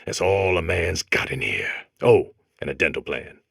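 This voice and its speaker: A man voicing a weary, earnest robot character in a comedic game, with a low, raspy voice. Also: big pitch swings, moderate pace, a bit bright, dry recording, studio quality, full character voice. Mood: jaded, conspiratorial. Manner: world-weary, earnest monologuing.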